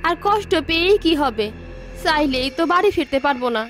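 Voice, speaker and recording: A woman's voice: high voice, animated, a little fast, a bit bright. A woman voicing an evil female ghost who poses as a village wife, sweet and evasive, in a cartoon with faint music behind. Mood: sly. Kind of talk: cartoon voice acting.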